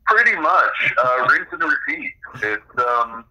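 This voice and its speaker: A man's voice: medium pitch, very animated, slow pace, bright, forceful tone, poor audio. A middle-aged man explaining his own creative work as a guest in a casual interview. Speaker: interview guest, game designer and author.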